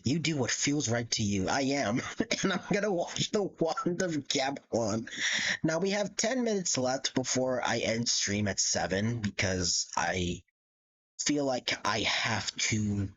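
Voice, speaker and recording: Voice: mid-range voice, lively intonation, unhurried, a bit bright, husky, dull audio. A live streamer chatting casually in a rambling, giggly way and swearing freely. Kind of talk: casual livestream chat.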